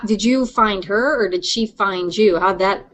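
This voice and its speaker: A woman's voice: mid-range voice, measured pace, fairly bright tone. Female podcast interviewer, middle register, informal and chatty questioning. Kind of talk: casual interview chat.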